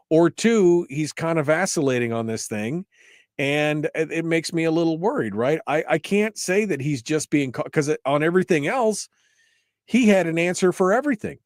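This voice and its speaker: A man's voice: fairly high pitch, no room sound, studio quality. A man hosting a political talk radio show, talking conversationally and often restarting his phrases for emphasis.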